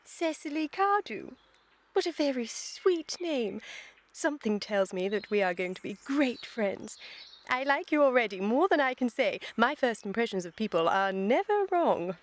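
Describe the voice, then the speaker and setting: A woman's voice: on the high side, big pitch swings, moderate pace. A British woman playing an imperious, self-assured young aristocrat in a comic period radio play, emphatic and mannered. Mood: gushing.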